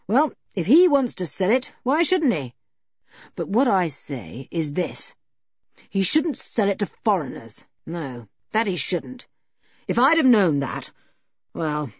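Casting: gruff, blustering rustic man for a storybook, played by a woman; husky, swooping delivery, defensive temper. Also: on the low side, no echo, dull audio, full character voice. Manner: rural turns of phrase, repeats tags for emphasis. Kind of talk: audiobook character voice.

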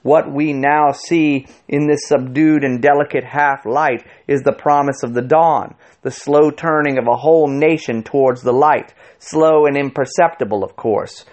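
A man voicing a pompous, cultured fat man in an audiobook, oratorical and condescending in a drawn-out delivery. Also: mid-range voice, close to an everyday voice. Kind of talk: audiobook character voice.

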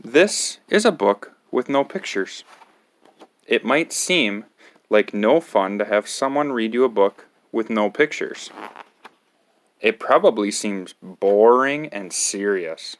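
A man's voice: fairly low pitch, animated, takes it slowly. A man reading a picture book aloud to children as a storytime host, with a theatrical, exaggerated delivery.

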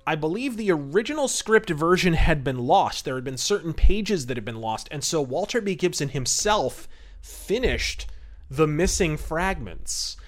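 A man's voice: on the high side, expressive, a little slow. A man hosting a podcast, giving enthusiastic, wordy and emphatic review commentary. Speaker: podcast host.

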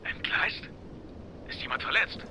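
A man's voice: a little slow, noisy background, natural voice. An actor playing an alarmed, urgent young male comrade in a dramatic audio drama. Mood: shocked.

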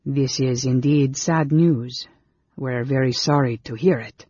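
A man's voice: mid-range voice, steady tone, a little slow, darkish tone, husky, dry recording, muffled recording. A man voicing a cultivated, guarded male stranger in a fantasy audiobook, formal, polite and tightly controlled. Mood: restrained, tense.

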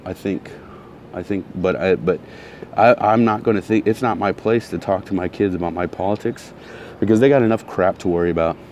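Deep-voiced man ranting bluntly. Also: a little fast, darkish tone, slight background noise. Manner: blunt, heated, repetitive. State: recovering from a sore throat. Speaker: vlogger. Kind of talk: casual ranting monologue.

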